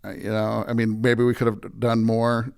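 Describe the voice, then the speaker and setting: An American man who is a pastor, talking informally and a bit haltingly as a guest in a casual interview. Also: medium pitch, flat delivery, vocal fry, clean tone.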